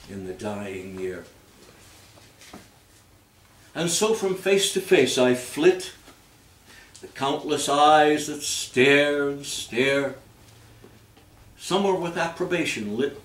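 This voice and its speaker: A man reciting poetry in a theatrical, measured manner, with phrases that swell and then fall away. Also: mid-range voice. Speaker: reader at a readers theater event. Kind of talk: dramatic poetry recitation with spoken introduction. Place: live reading in a roomy space. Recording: roomy, distant microphone, background noise.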